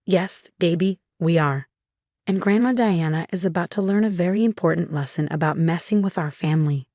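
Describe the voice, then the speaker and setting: A woman voicing a protective, vengeful mother in a dramatic narrated story, calm, determined and pointed. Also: on the low side, normal speed, no echo, clean studio sound.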